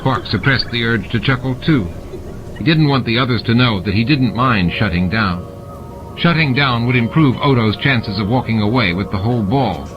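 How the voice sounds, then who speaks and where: A man's voice: medium pitch, moderate pace, highs cut off. A man narrating fiction from a script as an audiobook reader. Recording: music and sound effects behind the voice. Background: added music and sound effects.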